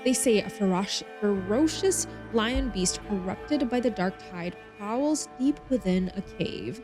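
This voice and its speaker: A woman's voice: medium pitch, expressive, a little slow, music in the background. A woman hosting a game livestream, expressively reading story text aloud and chatting with viewers in bubbly asides. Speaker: streamer and host.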